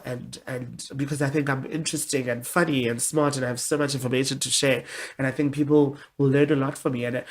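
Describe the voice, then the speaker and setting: A young woman with a deep voice, speaking candidly and expressively as a guest in a casual interview. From South Africa. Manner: candid, expressive, with many restarts.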